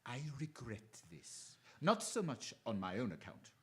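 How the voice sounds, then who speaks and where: A man playing a menacing, coldly polite criminal mastermind in a radio drama, speaking formally and deliberately. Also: medium pitch, huge pitch swings, a bit bright. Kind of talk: stage-style radio drama acting.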